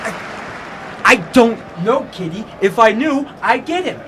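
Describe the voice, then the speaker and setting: An actor playing an edgy young lightweight boxer with an American accent, terse and evasive, in 1940s radio style. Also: male voice, extremely high pitch, lively intonation, slow pace, sharp tone, a little hiss or hum, full character voice. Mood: guarded, irritated.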